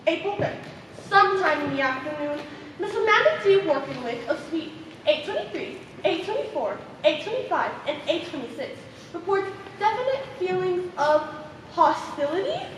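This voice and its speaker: A woman's voice: very high-pitched, very animated, normal speed. A woman acting in a stage play as one of the women at a ward meeting. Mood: declamatory. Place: theater stage, far from the mic. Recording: echoey hall from far off, faint noise.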